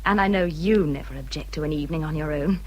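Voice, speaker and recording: An English actress playing a self-important, fretful young married gentlewoman in a period radio drama, plaintive and gushing. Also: low voice, quick pace, highs cut off, slight background noise, lightly acted. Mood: fussily self-justifying.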